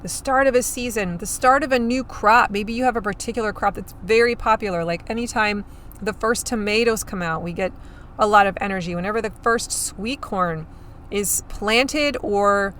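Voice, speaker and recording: A woman hosting a solo podcast on farm marketing, her voice a little hoarse and scratchy, talking in an upbeat, chatty way while chewing gum. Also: medium pitch, moderate pace, a bit bright.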